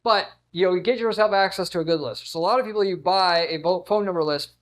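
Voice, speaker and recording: A man's voice: sits high, fast, fairly bright tone. A man giving an unscripted marketing explainer in a blunt, emphatic, salesy manner, often repeating words and restarting phrases. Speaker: marketing agency owner giving advice.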